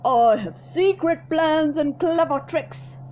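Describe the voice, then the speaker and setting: A woman doing a slow, gloating greedy crocodile. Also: high-pitched, a little mellow, slight background noise. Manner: slow, gloating, drawn-out delivery. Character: sly greedy male crocodile, big and boastful.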